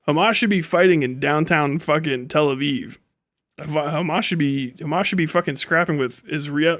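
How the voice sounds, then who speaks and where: A man's voice: fairly high pitch, no room sound, studio quality. A man doing casual livestream commentary, talking in an emphatic, profane, ranting way. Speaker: livestream host.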